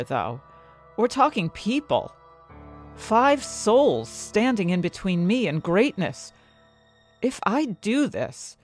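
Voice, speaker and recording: A woman playing an ambitious, conflicted scientist who narrates her own story with dramatic, deliberate phrasing. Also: lowish voice. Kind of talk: first-person audio fiction narration.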